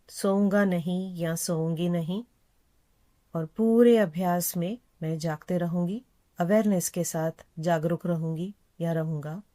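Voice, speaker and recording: A woman with a slightly low voice guides a relaxation practice as a yoga nidra instructor. She speaks calmly and without hurry, in a soothing way. Instructions come in short, repeated phrases. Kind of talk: slow guided meditation instruction.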